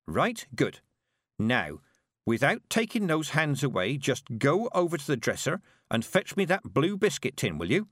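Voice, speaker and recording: Curt commanding old witch, man voicing her; lively pitch, dry. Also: unhurried, no room sound, exaggerated voice. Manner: curt, commanding, snaps orders. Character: stern sharp-tongued old woman witch.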